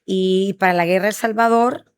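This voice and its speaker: A woman's voice: medium pitch, normal speed, smooth. A middle-aged woman from Honduras, a guest in an interview who tells her stories with enthusiasm. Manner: animated, enthusiastic storytelling. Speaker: guest, restaurant founder. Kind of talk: interview conversation.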